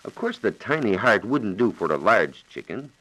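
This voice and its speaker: A male science reporter speaks on a vintage 1940s radio recording. His low voice moves through a wide, animated range of pitch. He explains things methodically, in careful and formal phrasing. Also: normal speed, rough recording.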